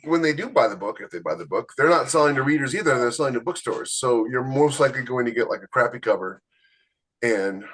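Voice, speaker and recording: A man's voice: mid-range voice, a bit bright, poor audio. A man co-hosting a podcast in casual conversation, talking emphatically and repeating points for stress. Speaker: podcast co-host, indie author. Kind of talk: casual chat about publishing.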